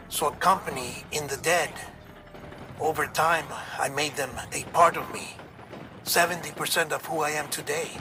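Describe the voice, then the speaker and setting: A man's voice: fairly high pitch, lightly acted. A man playing a nervous, lonely, wordy scientist in a dramatic video game cutscene, with a hesitant, theatrical delivery. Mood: sombre, confessional. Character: jittery, confiding, lonely male scientist comrade, frightened but chatty.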